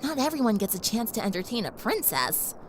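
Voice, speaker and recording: A woman's voice: fairly high pitch, big pitch swings, a little fast, background noise. A woman playing a young pony princess in an audio drama, polite, playful and earnest, with a friendly, slightly grand manner. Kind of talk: audio drama character acting. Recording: faint background ambience.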